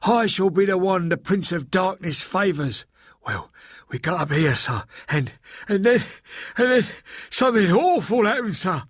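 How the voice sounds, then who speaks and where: A man voicing a hulking, terrified working-class woman servant who speaks frantically and breathlessly in rough, rustic grammar. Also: sits high, lively intonation, unhurried, dry recording, clean studio sound, voice pushed up, heavily acted. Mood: frightened. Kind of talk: audiobook character voice.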